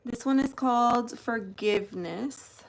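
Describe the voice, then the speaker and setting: A woman from New Jersey hosts a live stream, speaking slowly and unhurriedly as she shows cards. Her voice sits a little high, and she keeps greeting viewers by name in a chatty way. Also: creaky, slight background noise, low-quality recording. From New Jersey, United States. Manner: slow, unhurried delivery. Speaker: tarot channel host. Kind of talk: live-stream unboxing chat.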